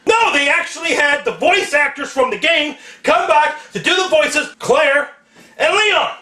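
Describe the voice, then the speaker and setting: A man's voice: very high-pitched, animated, measured pace, pushed and bright. A man hosting a video rant, swearing heavily and speaking with emphatic, exasperated anger. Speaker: host of a video rant segment. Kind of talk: profane angry film rant. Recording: echoey room.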